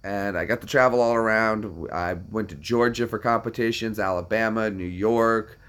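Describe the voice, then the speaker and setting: A man's voice: fairly low pitch, normal speed, a bit bright. A young American man chatting casually to viewers, answering questions in a rambling, self-deprecating way with lots of fillers. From United States. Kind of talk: casual chat.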